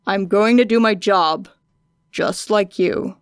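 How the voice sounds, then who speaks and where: A woman doing a gruff tired hero, curt and clipped, slow delivery, dry sound. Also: mid-range voice, no room sound, lightly acted. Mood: grumbling.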